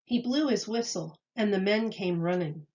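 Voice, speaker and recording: A woman reading a children's story aloud with expressive, measured storytelling. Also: medium pitch, lo-fi sound. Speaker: storybook reader.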